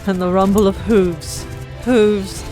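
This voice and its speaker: A woman's voice: medium pitch, steady tone, slow pace. A woman narrating a fantasy audiobook in a dramatic, theatrical storytelling style. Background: music.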